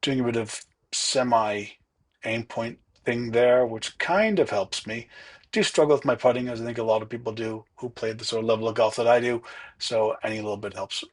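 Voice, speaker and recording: A man narrating his own golf round in a relaxed, chatty, self-deprecating way. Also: mid-range voice, normal speed. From not from Ireland. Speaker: golf vlogger. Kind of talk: casual voice-over commentary.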